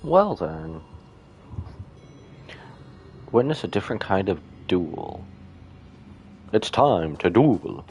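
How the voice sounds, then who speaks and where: A man's voice: low-pitched, big pitch swings, slow, a little mellow, a little hiss or hum. A man casually reacting on a live game stream, playful and joking.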